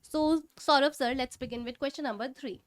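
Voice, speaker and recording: Indian lady hosting a study discussion, very respectful, bit high voice, dry close audio. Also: a little fast, dry recording. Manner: deferential, frequent "yes, sir". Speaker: host of educator discussion. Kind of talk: moderating an educational discussion.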